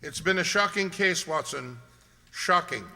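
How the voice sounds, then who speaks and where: A man's voice: fairly high pitch, expressive, a little slow, sharp tone, heavily acted. A man playing a stern, commanding detective in a radio mystery, speaking with declamatory authority. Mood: somber. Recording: long hall reverb.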